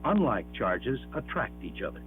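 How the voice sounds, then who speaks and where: A man's voice: medium pitch, big pitch swings, over music. Man narrating a dull-sounding 1960s classroom film. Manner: measured, explanatory, emphatic, with rhetorical questions.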